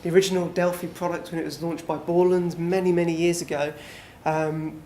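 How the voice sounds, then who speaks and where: Relaxed man presenting, flat delivery. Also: on the high side, brisk. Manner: relaxed, filler-heavy, conversational. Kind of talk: conference talk. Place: small conference room. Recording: echoey room with faint background noise.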